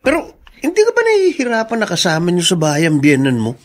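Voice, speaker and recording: A man's voice: high voice, wildly swooping pitch, fast talker, exaggerated voice. An actor playing a nosy, teasing male friend in a radio drama, with a Filipino accent.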